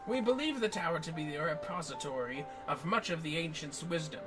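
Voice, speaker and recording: A man voicing a learned, formal expedition leader from a fantasy game, with pompous, deliberate, ornate delivery. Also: a little high, music in the background, close to an everyday voice. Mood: solemn, expository. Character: learned, formal archaeologist leading an expedition, grandly wordy. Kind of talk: dramatic reading of fantasy game dialogue.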